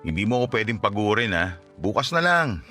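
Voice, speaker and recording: A man's voice: lowish voice, sing-song, brisk, sharp tone, soft background music. A man playing a vain, touchy young boyfriend in a radio drama, with a teasing, defensive, conversational manner. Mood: playful, sassy. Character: young makeup-loving boyfriend, vain, touchy, playfully sassy.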